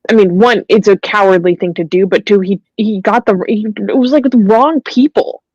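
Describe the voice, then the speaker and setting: A woman co-hosting a talk show, reading news reports aloud with many pauses and ums, then adding her own comments. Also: medium pitch, a little mellow, no room sound, dull audio.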